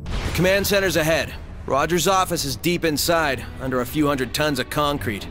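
Casting: man as level-headed game sergeant, fairly high voice, tactical briefings over loud music. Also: normal speed, lightly acted. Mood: urgent, matter-of-fact. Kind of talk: video game cutscene acting. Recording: loud music and engine noise behind.

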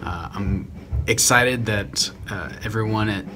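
A man in his twenties with a low voice speaks in a friendly, slightly halting way. A clear hiss runs under the phone recording. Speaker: voice actor. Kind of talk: cast self-introduction.

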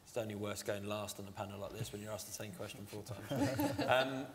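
A man's voice: fairly low pitch, steady tone, fairly quick. A man in his thirties speaking on a conference panel, wry and self-deprecating. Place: large event hall stage. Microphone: lapel mic. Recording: reverberant hall, faint noise.

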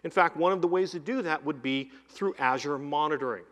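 A middle-aged man giving a live keynote demo on a conference stage, speaking into a headset mic and running through lists with energy. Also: fairly high pitch. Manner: energetic, lists items in quick runs. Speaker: keynote presenter demoing cloud software. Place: large conference hall stage. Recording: long hall reverb.

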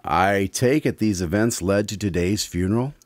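A man's voice: on the low side, moderate pace, no echo, clean studio sound. A man hosting a radio drama, chatting with the main character in a friendly, conversational way. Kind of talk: scripted host chat.

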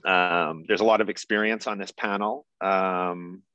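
A man moderating a panel discussion, asking questions in a hesitant, halting way. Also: low-pitched, fairly even intonation, bright, forceful tone, no room sound. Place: indoors on a video call. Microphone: video call. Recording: dry, slightly dull video-call audio.

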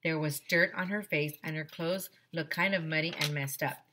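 A woman's voice: low voice, steady tone, moderate pace, background noise. A middle-aged woman, a teacher reading a children's storybook aloud expressively into a headset mic. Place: small decorated room indoors.

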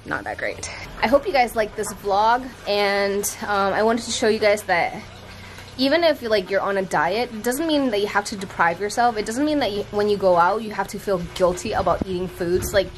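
A woman's voice: medium pitch, moderate pace. Chatty American woman vlogging outdoors, background noise. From Thailand-born, raised in the United States. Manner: chatty, informal, expressive. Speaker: fitness vlogger. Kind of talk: casual vlog chat to camera. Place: outdoor patio by a pool at home. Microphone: camera held at arm's length.

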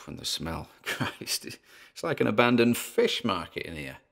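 A man's voice: fairly low pitch, big pitch swings, measured pace, gravelly, a bit bright, heavily acted. A British man playing an uneasy, curious paranormal investigator in an audio horror story, muttering hesitant, wry asides as if reporting into a recorder. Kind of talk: found-recording horror monologue, spoken like a podcast field report.

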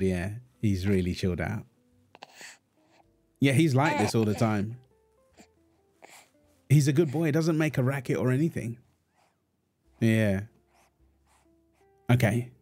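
A man playing a gentle, reassuring young father and husband in an intimate audio roleplay, talking in a soothing, affectionate, casual way. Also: medium pitch. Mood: relaxed, proud. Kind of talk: intimate comfort roleplay, casual one-sided dialogue.

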